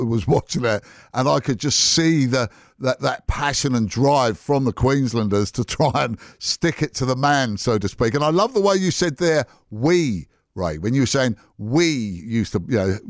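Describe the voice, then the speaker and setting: A man's voice: mid-range voice, no room sound, clean studio sound. A man hosting a radio interview, asking long, rambling questions. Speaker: radio host.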